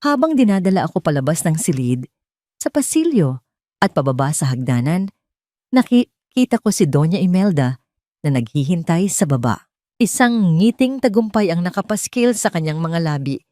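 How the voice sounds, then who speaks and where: A woman with a Filipino accent narrating a dramatic first-person story in an expressive, scripted storytelling manner. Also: fairly low pitch, moderate pace, no echo, clean studio sound.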